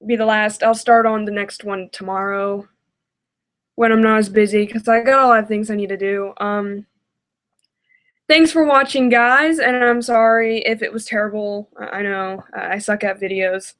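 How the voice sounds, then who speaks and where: A woman's voice: mid-range voice, steady tone, moderate pace. A woman giving casual reaction commentary as a video host, hesitant and self-deprecating.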